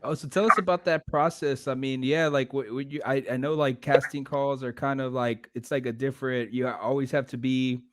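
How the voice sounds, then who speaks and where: A man's voice: mid-range voice, fairly even intonation, normal speed, hardly any pauses, fairly bright tone. A man hosting a podcast in a casual, informal way, feeling his way through loosely phrased remarks and questions. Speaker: podcast host. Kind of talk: casual interview chat.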